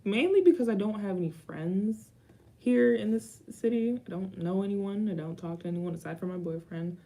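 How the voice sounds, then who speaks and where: A woman's voice: medium pitch, normal speed, warm tone. A young woman in her twenties answering viewer questions in a rambling, casual vlog chat with dry asides. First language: Haitian Creole. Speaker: YouTuber.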